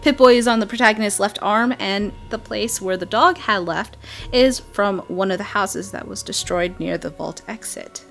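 A woman's voice: medium pitch, normal speed, vocal fry. Chatty woman commentator with music behind. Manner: chatty, expressive, wry asides. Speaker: video game commentator. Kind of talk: casual trailer breakdown commentary.

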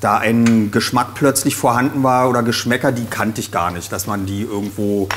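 Relaxed middle-aged man, hesitant talk. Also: mid-range voice, moderate pace, slight background noise. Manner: relaxed, hesitant with many fillers. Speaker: guest, voice actor. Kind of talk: casual chat while cooking. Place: studio kitchen set.

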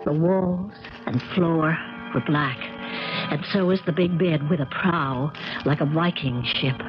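An actress playing an anxious, caring niece who narrates and acts in a 1970s radio mystery. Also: female voice, low voice, fairly even intonation, measured pace, music in the background. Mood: tense storytelling. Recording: muffled old radio recording, crackle.

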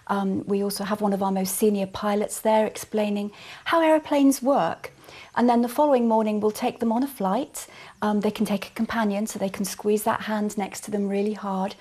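A woman's voice: medium pitch, fairly even intonation, moderate pace, a little mellow. Woman, middle-aged, business guest, corporate talk, many ums, studio lapel mic. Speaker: airline commercial manager, guest. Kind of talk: studio interview answers. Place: small TV studio set.

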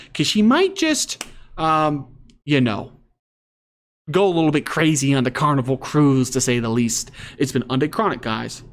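A man hosting online commentary, reading news aloud and reacting casually. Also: fairly high pitch.

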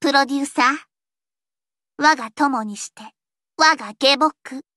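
Casting: teenage anime game idol, piercingly high and unhurried, dark-fantasy pomposity over a bashful girlish core. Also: no room sound, studio quality. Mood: grandiose, dramatic. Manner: switches between pompous archaic proclamations and bashful plain girlish speech. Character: theatrical teenage idol girl, grandiose dark-fantasy poser, shy underneath. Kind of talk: anime mobile game voice lines.

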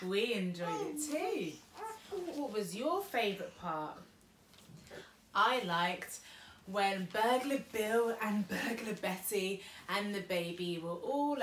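Animated young woman reading a bedtime story. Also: mid-range voice, slow pace, slight background noise. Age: young adult. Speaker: storyteller mother. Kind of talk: children's bedtime story read aloud.

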